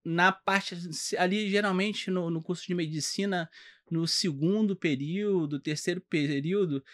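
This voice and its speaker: A high-voiced man with a Carioca accent talks in a podcast interview. He explains things patiently, stopping often to restart his sentences and filling the pauses. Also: a bit bright. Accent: Carioca Brazilian Portuguese. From Rio de Janeiro, Brazil. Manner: halting, many fillers and restarts, explanatory. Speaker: podcast guest, doctor. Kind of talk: casual interview chat.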